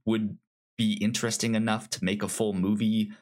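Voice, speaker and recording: A man co-hosting a casual film discussion podcast, speaking haltingly and often stammering over repeated syllables. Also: fairly low pitch, flat delivery, a little mellow. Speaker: podcast co-host.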